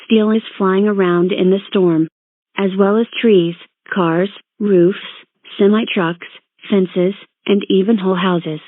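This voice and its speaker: A woman reading a scripted emergency broadcast announcement in a formal, measured, synthetic-sounding way. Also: medium pitch, steady tone, no echo. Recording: narrow tinny phone-like band.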